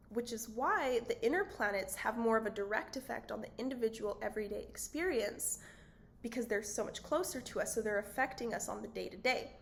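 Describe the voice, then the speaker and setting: Woman, channel host, raspy, hoarse, a bit high-pitched, chatty vlog talk. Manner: chatty, many fillers, laughs often. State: hoarse, voice cutting out. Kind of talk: casual spiritual vlog talk.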